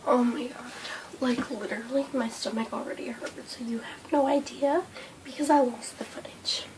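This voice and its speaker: A young woman vlogging a breakfast mukbang, hoarse and losing her voice from a cold, rambling with lots of fillers. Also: on the high side, steady tone, moderate pace, noisy background. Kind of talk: casual mukbang vlog chat. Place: indoors at home under a hot light.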